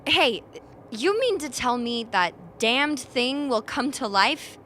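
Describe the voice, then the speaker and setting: Woman doing a sardonic tough expedition member, radio drama, sharp voice, faint noise behind. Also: high voice, very animated, takes it slowly, exaggerated voice. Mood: alarmed, aggressive. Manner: forceful, scornful. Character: blunt, combative, sardonic polar expedition member.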